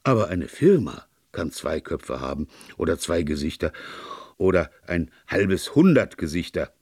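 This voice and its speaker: Man voicing a deep-voiced, earnest priest detective. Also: unhurried, slightly rough, no echo, studio quality, exaggerated voice. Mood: earnest, arguing. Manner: even-tempered, sincere, discursive. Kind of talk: audiobook character dialogue.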